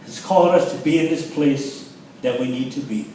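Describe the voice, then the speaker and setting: A pastor from the Philippines, excited and emotional, introducing a guest at a church launch. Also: male voice, mid-range voice, normal speed, slight background noise. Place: large church hall. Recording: echoey hall, distant mic.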